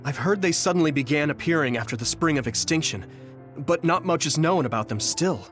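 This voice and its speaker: A man's voice: fairly high pitch, expressive, brisk, fairly bright tone, faint music behind. An actor playing an earnest, thoughtful young male platoon leader in a video game dub. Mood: troubled, questioning.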